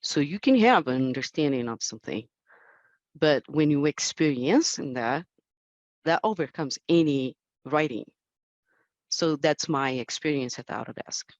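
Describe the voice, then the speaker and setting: A woman's voice: low-pitched, animated, a little slow, a little mellow, dry recording, muffled recording. A Brazilian woman, a native Portuguese speaker, talking casually as a panelist in accented English and searching for words.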